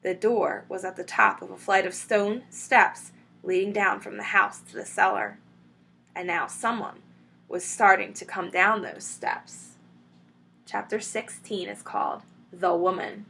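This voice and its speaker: A woman, a teacher, reading a children's story aloud with expressive narration. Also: medium pitch, unhurried, vocal fry, poor audio.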